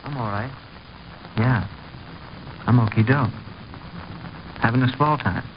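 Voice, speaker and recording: Actor as offhand, muffled small-town youth. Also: male voice, mid-range voice, slow pace, close to an everyday voice. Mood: nonchalant, evasive. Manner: slangy, offhand. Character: cagey, casual small-town young man Butch. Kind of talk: old radio drama acting. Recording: dull, faintly noisy vintage radio recording.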